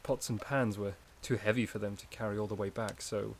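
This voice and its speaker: A man playing a gentle, shy, caring forest companion in a soft-spoken ASMR roleplay, with a hesitant, slightly stammering delivery. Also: lowish voice, steady tone, brisk, slight background noise, natural voice. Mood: soothing, cozy.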